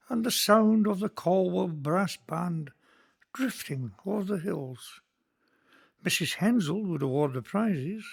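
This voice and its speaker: An actor with a Scottish accent playing a kindly, earnest Presbyterian minister in a community radio play, speaking in a measured, sermon-like way. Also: male voice, high-pitched, creaky, husky, heavily acted. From Scotland.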